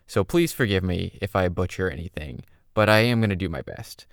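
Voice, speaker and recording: Low-voiced man narrating a spooky podcast. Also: animated, brisk, dry recording, clean studio sound. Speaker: podcast host. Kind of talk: scripted storytelling narration.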